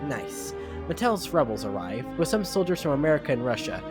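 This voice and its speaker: A man's voice: a little high, sing-song, fast. Man narrating a story over music. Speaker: narrator and reader of a fan story. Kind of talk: amateur dramatic reading, narrated story. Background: background music.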